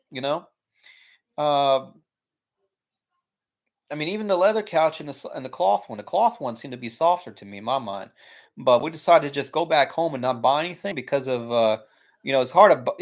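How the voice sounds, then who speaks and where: A young American man chatting casually on a live stream, answering viewer questions in a rambling, laid-back way full of fillers. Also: on the high side. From United States. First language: English.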